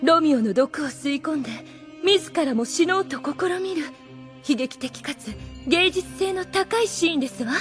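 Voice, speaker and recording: A woman's voice: sits high, expressive, normal speed, soft background music. A woman playing a proud, scheming demon lady in an anime audio drama, with refined, condescending phrasing and a theatrical manner.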